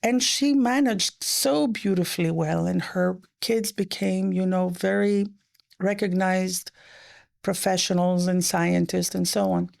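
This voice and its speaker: A middle-aged woman guest, an economist whose first language was French, telling stories in an animated way, with quick bursts and soft, hushed quoting. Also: fairly low pitch, creaky. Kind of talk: conversational interview.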